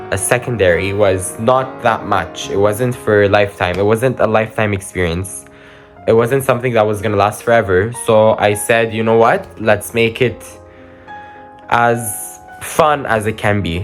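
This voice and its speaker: A late-teen young man whose first language is Armenian, giving a rambling, hesitant farewell reflection as a graduating student, with frequent ums and restarts. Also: lowish voice. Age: late teens.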